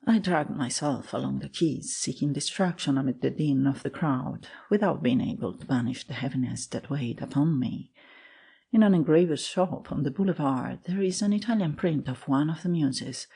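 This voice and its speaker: A man playing an ardent, lovesick young man, earnest and melancholy, with a husky voice and a yearning, confessional delivery in a literary dramatic reading. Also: fairly high pitch, moderate pace, dark tone. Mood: anguished.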